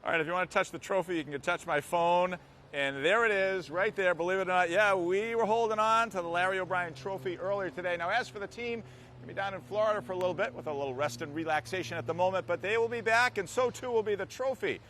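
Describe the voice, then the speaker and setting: A man working as a TV news reporter, reporting live and asking quick questions out in the field. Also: high voice, fairly bright tone. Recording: outdoor traffic and ambient noise.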